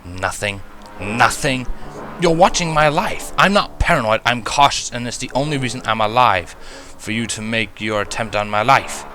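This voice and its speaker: A man's voice: medium pitch, expressive, moderate pace, pushed and bright. A man playing a paranoid, battle-scarred wanderer, speaking in a blunt, defensive, intense way. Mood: angry, defiant.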